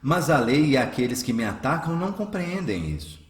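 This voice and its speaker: Man playing a calm, pious healer in echo. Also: medium pitch, natural voice. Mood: calm, resolute. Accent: Brazilian. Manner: measured, earnest. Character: calm, pious, humble male spiritist healer. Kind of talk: radio drama acting. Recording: roomy echo, music underneath.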